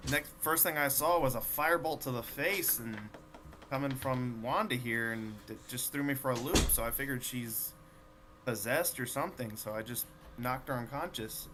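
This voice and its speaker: Bright-voiced man playing a tabletop game. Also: medium pitch, normal speed, a little hiss or hum. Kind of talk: casual in-game description.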